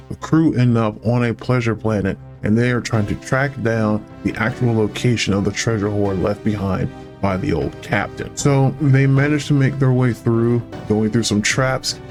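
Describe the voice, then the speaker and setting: A man's voice: medium pitch, darkish tone. A man hosting a casual solo reaction vlog, talking through his impressions as a reviewer. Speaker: YouTube host giving episode reactions.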